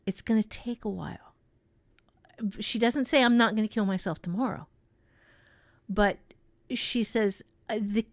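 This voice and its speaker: A woman improvising a story in a conversational writing lesson, thinking aloud in a halting way with repeated phrases. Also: medium pitch, no room sound. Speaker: author and writing teacher.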